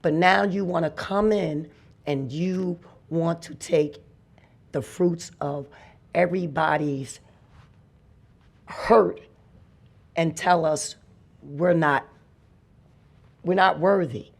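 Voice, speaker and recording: Slow, raspy-voiced speaker at a podium. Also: mid-range voice. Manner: slow, heartfelt, builds to emphatic. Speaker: public commenter. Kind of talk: impassioned public comment. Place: public meeting hall.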